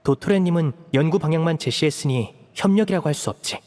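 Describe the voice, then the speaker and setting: A man voicing a composed, polite young man, a dutiful leader of his siblings, calm and measured in a video game. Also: a little high, long reverb tail, close to an everyday voice. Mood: calm, reassuring.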